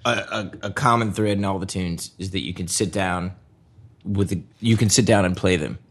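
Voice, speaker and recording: Low-voiced man in rambling chat. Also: normal speed, slightly rough. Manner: rambling, many fillers and restarts. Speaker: guitarist guest. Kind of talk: casual conversation.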